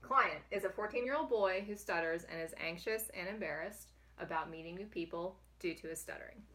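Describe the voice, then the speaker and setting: A woman speaking as a clinician in a demonstration counseling session, calm and patient, explaining step by step. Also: lowish voice, a little fast. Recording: echoey room, mic at a distance, faint noise.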